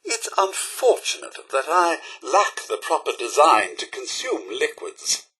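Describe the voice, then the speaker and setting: Casting: fussy British robot butler in a game, high-set voice, slightly rough edge, pompous and very courteous. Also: male voice, huge pitch swings, a little slow, pushed and bright, heavily acted, poor audio. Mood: formal, polite. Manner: formal, pompous, theatrically polite. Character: prim, fussy, snobbish male robot butler. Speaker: video game voice actor. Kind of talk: scripted game companion dialogue.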